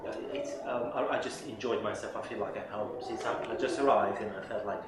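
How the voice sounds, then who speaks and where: A man who grew up in Hungary speaks English as a second language in a low voice, eagerly praising things and often repeating words as he searches for the next one. He sits some distance from the mic in a very echoey hall. Loud crowd noise fills the background. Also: fairly even intonation, fairly quick. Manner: enthusiastic, repeats words while searching for them. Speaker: interviewee drummer. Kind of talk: casual interview answers. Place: busy drum fair hall.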